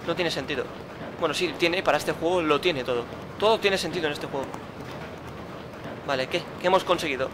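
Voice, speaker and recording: A man's voice: a little high, a little fast, long pauses, background noise. A man commenting his own gameplay, muttering, grumbling and swearing at the game. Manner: frustrated, swearing, muttering to himself. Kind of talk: let's play commentary.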